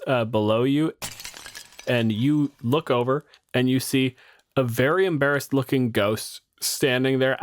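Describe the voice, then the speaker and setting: A man runs a tabletop game, setting scenes in casual improvised narration. His voice is mid-pitched and recorded close and dry. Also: smooth, no room sound.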